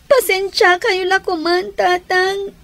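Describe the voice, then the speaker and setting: Sorrowful little Ilocano kid, piping voice, unhurried, plaintive, for radio serial. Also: female voice, very high-pitched, little pitch movement, a bit bright, full character voice. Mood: sad, plaintive. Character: sad young child pleading with father. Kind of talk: radio drama acting.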